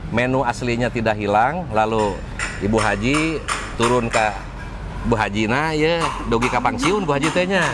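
A man's voice: mid-range voice, animated, moderate pace. A middle-aged man with a West Javan Indonesian accent, chatting enthusiastically and at length as a casual vlog host. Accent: Sundanese-region Indonesian. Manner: enthusiastic, talkative. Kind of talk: casual on-location vlog chat. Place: open-air cafe terrace and outdoor stairs. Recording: clear outdoor ambient noise, passing vehicles.

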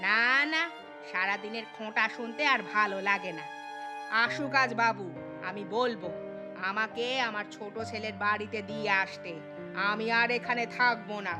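A woman's voice: medium pitch, steady tone, normal speed, music in the background, natural voice. A woman voicing a gluttonous, self-pitying elderly mother-in-law in a cartoon story, with a demanding, whining manner. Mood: indignant.

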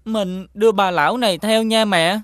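A woman's voice: medium pitch, moderate pace, lightly acted. A woman voicing an anxious, devoted young village boy with a Southern Vietnamese accent in a cartoon fairy tale. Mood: concerned. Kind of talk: animated fairy-tale dubbing.

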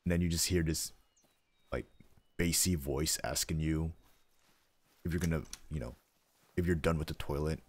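Very deep-voiced young man, deadpan. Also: moderate pace, long pauses, slightly rough. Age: young adult. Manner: deadpan, rambling. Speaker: streamer. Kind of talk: casual chat while gaming. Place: home room, seated.

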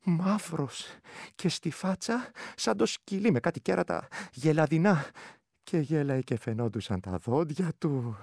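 A man's voice: on the high side, animated, no echo, studio quality. A man playing a rural Greek village soldier in a radio play, recounting his terror in earthy dialect with a rural accent. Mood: frightened recounting. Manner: earthy dialect storytelling, shifting between hush and outburst. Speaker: actor. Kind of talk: dramatic first-person storytelling in a radio play.

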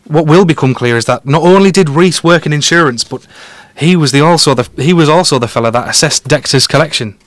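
A man's voice: on the high side, fairly quick, few pauses, dry recording, lightly acted. Man playing a confident male detective, dry close sound. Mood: assured, explaining. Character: confident, quick-witted male amateur detective explaining his deductions. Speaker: podcast guest detective. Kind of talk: conversational audio drama dialogue.

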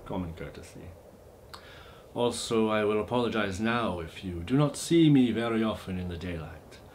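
A man playing a genteel vampire host with a mock Eastern European accent in a comic monologue, halting and overly polite, with awkward deadpan asides. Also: lowish voice, a little hiss or hum, close to an everyday voice. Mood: courtly welcome.

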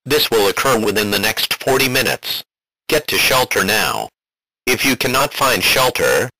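Harsh, husky male emergency announcer. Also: fairly low pitch, sharp tone, no room sound, rough recording. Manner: formal, impersonal. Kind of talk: scripted emergency alert announcement.

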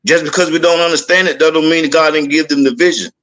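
A man's voice: fairly high pitch, steady tone, quick pace, fairly bright tone, low-quality recording. A man guest on a video-call panel, speaking earnestly about faith and community with a Southern US accent, repeating lines like a list. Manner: earnest, repetitive, speaks in lists. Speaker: podcast guest. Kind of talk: testimony-style panel talk.

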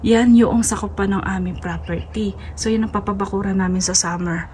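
A woman with a Filipino accent chats casually to viewers as she gives a house tour, halting now and then and mixing in English words. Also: mid-range voice, fairly even intonation, darkish tone. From Philippines. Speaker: vlogger, homeowner giving a house tour. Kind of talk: casual vlog chat to viewers. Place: walking through a large house basement and outdoors in snow. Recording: noisy handheld recording on the move.

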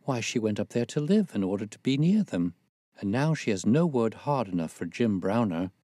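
A man's voice: lowish voice, sing-song, moderate pace, dark tone, dry recording, studio quality, voice pushed up. A man voicing a weary, talkative middle-aged spinster in a storybook reading, plaintive and gossipy in old-fashioned phrasing. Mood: aggrieved, gossipy.

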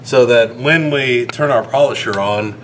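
A man's voice: mid-range voice, measured pace. A man casually describing the job he is doing as he works. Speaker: coworker installing exhaust venting. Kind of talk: casual explanation while working. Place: indoors up at a shop ceiling. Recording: clear background noise on camera audio.

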